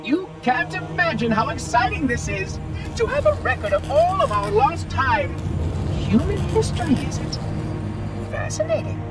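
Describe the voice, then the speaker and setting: A man's voice: very high-pitched, wildly swooping pitch, moderate pace, exaggerated voice. A man playing a fussy, pompous ancient robotic caretaker in a video game cutscene, with formal, theatrical diction. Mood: giddy delight. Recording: loud music behind the voice. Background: loud music.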